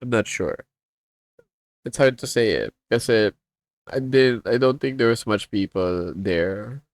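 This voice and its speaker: A man with a Filipino accent rambles through a casual stream, slipping between English and Tagalog. He speaks slowly in a somewhat low voice, close and dry on the mic. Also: animated, dry recording. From Philippines. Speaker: streamer and comic artist. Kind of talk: casual livestream chat.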